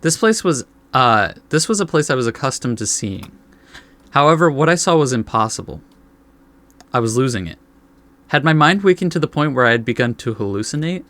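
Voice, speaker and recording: A man with a medium-pitched voice hosts a casual gaming video. He reads story text aloud and adds informal, laid-back reactions. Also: lively intonation, a little fast, fairly bright tone.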